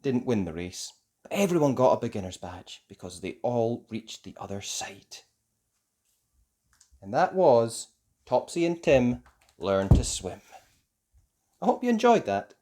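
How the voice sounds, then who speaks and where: Storybook narrator for young kids: mid-pitched, unhurried, hugely varied intonation, deliberate sing-song delivery. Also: dark tone. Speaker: children's story reader. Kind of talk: expressive scripted storybook narration.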